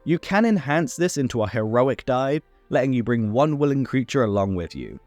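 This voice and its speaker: A man's voice: mid-range voice, very animated, moderate pace, a little mellow, no echo, faint music behind. A man hosting a tabletop game tutorial, reading a scripted rules explainer.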